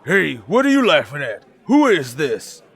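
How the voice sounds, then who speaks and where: A man playing a rattled small-time crook in a radio drama, with rough, slangy, defensive speech. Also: on the high side, huge pitch swings, unhurried, a bit bright, exaggerated voice. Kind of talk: radio drama acting as a gangster.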